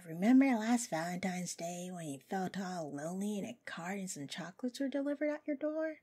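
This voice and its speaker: Woman acting as playful imp girl, coy teasing, obsessively smitten, unhurried pace, swooping intonation, roleplay audio. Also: medium pitch, a little mellow, slightly rough. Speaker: voice actress.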